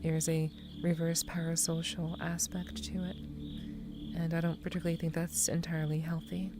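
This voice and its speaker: A young woman speaks in a soft, soothing ASMR half-whisper, her voice a touch scratchy from recent crying. Her tone sits low and stays level, with little rise or fall. Music plays clearly underneath her. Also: flat delivery, moderate pace. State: slightly scratchy voice after crying. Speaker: VTuber streamer. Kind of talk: ASMR stream chat.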